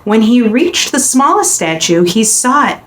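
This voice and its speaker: Slow, animated woman reading a storybook. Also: a little high. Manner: dramatic, deliberate storytelling. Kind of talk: expressive children's picture book reading. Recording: echoey room, faint background noise.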